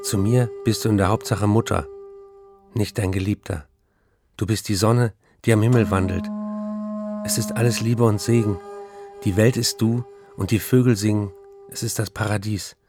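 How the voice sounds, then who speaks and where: Low-voiced love-struck young writer played by a man. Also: steady tone, normal speed, over music, close to an everyday voice. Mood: adoring, pleading. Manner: confessional, repetitive, fervent address. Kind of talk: radio drama reading of intimate letters.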